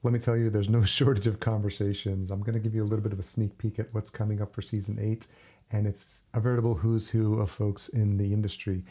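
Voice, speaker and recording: Low-voiced chatty podcast host man. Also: level tone, quick pace, warm tone. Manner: friendly, chatty, laughs easily. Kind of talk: podcast intro and interview.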